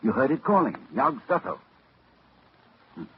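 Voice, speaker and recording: An actor playing a grave, urgent scholar in a 1940s radio drama, lecturing and tense. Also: male voice, medium pitch, wildly swooping pitch, measured pace, vocal fry, exaggerated voice. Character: older male scholar facing a monster. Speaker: Doctor Armitage. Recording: dull old radio recording with hiss.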